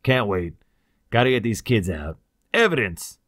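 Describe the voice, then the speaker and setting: A man voicing a brisk, fearless investigator who snaps out clipped orders in a pulp science fiction audiobook. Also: fairly low pitch, sing-song, a bit bright, no echo, studio quality. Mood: urgent, decisive. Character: crisp, commanding male investigator, brisk and fearless.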